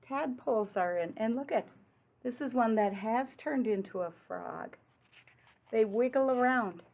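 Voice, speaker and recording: Female read-aloud teacher for little kids, simple questioning delivery, emphatic on rhymes, thin phone-quality audio. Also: medium pitch. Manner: simple child-directed talk with frequent questions; deliberate, emphatic delivery of rhyming words. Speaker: teacher reading aloud to young children. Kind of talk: picture-book read-aloud with explanations and questions. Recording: muffled, phone-like narrow-band sound.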